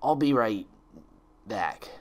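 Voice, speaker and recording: A man's voice: on the low side, lively intonation, slow. A man voicing a cheeky, eager young male dragon sidekick in a storybook, casual and boyish. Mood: nervous.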